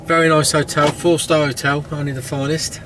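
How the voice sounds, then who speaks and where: A man's voice: fairly high pitch, flat delivery, a little fast. A British man chatting and joking as a passenger on a car trip, teasing his partner while describing the town they drive through. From United Kingdom. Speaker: vlogger. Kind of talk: casual travel vlog chat. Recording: noisy car recording.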